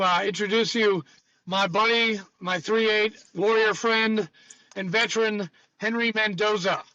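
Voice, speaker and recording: A man's voice: high-pitched, pushed and bright, no echo. A man hosting a podcast with informal, jokey buddy banter, on a slightly dull recording. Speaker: podcast host, Marine veteran. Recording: slightly dull, band-limited sound.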